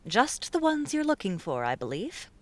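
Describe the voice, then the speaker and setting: A man's voice: high-pitched, huge pitch swings, moderate pace, a bit bright, no echo, pitched above the performer's own voice, full character voice. A male narrator voicing a poised, confident young woman with an assured, slightly teasing way of talking. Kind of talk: audiobook character voice.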